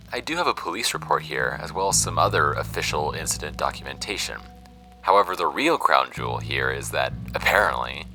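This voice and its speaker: A sardonic young American podcaster alone with his dusty files. His voice is very low and bright, and he talks in a self-deprecating, conversational way over a music bed, as in a fiction podcast. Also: full character voice, poor audio. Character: wry young man podcasting old case files alone. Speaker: podcast host character. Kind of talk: audio fiction podcast monologue.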